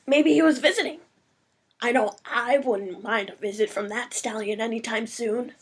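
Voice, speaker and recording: A woman's voice: on the high side, normal speed, breathy edge. A woman voicing a small, eager female baby dragon assistant in a cartoon-style audio story, gushing and informal. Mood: casual.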